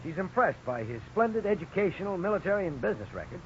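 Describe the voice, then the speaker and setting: Higher-pitched, formal attorney. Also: male voice, very animated. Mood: measured, courteous. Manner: measured, formal. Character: courteous, formal male opposing attorney. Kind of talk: 1950s radio drama acting. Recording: muffled, hissy 1950s radio recording.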